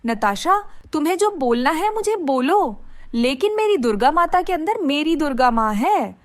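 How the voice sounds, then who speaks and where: A woman's voice: sits high, sing-song, moderate pace, dry recording, studio quality. A woman voicing a devout, mistreated young daughter in a dramatized moral story, speaking in an earnest, emotional, pleading way. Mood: pained, firm.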